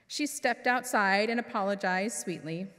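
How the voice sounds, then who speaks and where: A woman minister reading a children's story expressively, with dramatic pauses, in a large church hall. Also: medium pitch, fairly bright tone. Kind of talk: expressive storytelling for all ages. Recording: echoey hall with long reverb.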